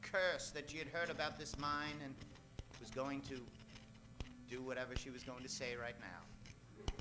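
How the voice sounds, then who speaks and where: A man narrating a comedic old-time radio play with theatrical delivery and dramatic pauses. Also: mid-range voice.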